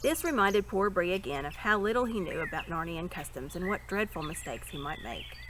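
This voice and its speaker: A woman reading a story aloud as an expressive narrator. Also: fairly low pitch, brisk. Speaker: reader. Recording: birdsong and ambient noise behind. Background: birdsong.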